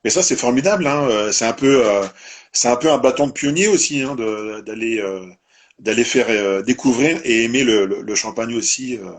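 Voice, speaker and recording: Male live-stream host, husky, rambling and informal. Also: medium pitch, normal speed. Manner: meandering, tags sentences with "hein". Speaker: host of a live winery presentation.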